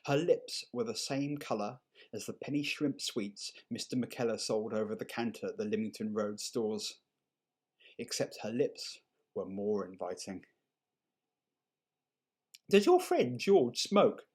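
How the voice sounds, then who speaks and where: A man reading a short story aloud in an unhurried, measured and expressive storytelling manner. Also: on the low side, slightly rough. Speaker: story reader. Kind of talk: dramatic short story narration.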